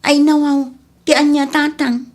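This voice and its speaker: A woman's voice: high voice, little pitch movement, measured pace, darkish tone. A woman playing a long-suffering, lovesick young working woman in an amateur audio drama, plaintive, with an Ilocano accent. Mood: defensive.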